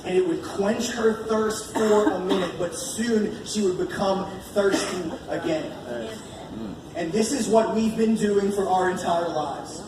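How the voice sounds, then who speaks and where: A fairly high-voiced American man delivers a colloquial evangelistic sermon, leaning on repeated phrases for emphasis. His voice comes through a heavily echoing room, picked up from a distance. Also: unhurried. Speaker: preacher. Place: large echoey room at a live church gathering. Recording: echoey room from far off, noisy.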